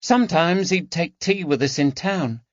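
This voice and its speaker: Man doing a shy young housemaid in an audiobook, high lifted voice, dull dry sound. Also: moderate pace, no echo, lightly acted. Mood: anxious. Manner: hesitant, plain-spoken working-class speech. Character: timid nineteen-year-old dismissed lady's maid.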